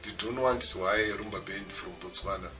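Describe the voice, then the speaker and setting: Zimbabwean man, low voice, mic far off. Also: brisk, bright, forceful tone, faint music behind. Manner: mixes English and Shona mid-sentence, explanatory. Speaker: music producer giving a seminar. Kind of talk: WhatsApp voice-note lecture. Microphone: phone.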